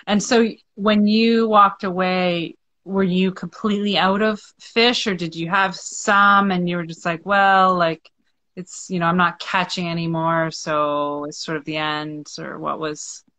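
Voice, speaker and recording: Slow, bright-voiced young woman host. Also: on the low side, steady tone, no echo. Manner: attentive, frequent short agreements. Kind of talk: casual chat. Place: indoor room at home. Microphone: video call.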